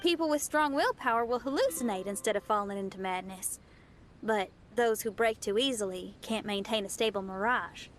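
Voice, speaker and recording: A woman's voice: medium pitch, normal speed, faint music behind, close to an everyday voice. A woman playing a plain-spoken, easygoing young adventurer in a video game cutscene. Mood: agreeable, frank.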